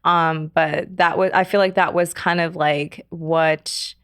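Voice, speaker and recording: A woman in her thirties, from South Korea, telling her life story in a casual interview, speaking softly with many fillers, pauses and laughs. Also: low voice, steady tone, clear, resonant tone, no echo, studio quality. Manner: soft-spoken, many fillers and trailing pauses, laughs often. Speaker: podcast guest.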